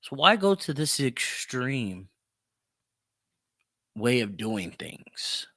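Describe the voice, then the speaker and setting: A man's voice: medium pitch, big pitch swings, extremely slow, sharp tone, no room sound, clean studio sound. A man hosting a podcast of opinionated solo commentary, speaking emphatically with plenty of swearing and repeating himself for emphasis. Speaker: podcast host.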